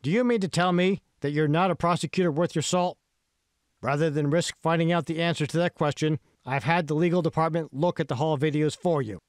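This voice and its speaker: A man's voice: a little high, brisk, no room sound, studio quality, voice pushed up, lightly acted. A man voicing a scheming, menacing tech billionaire in an audiobook, pointed and emphatic. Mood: needling, smug. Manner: pointed, emphatic, rhetorical questioning.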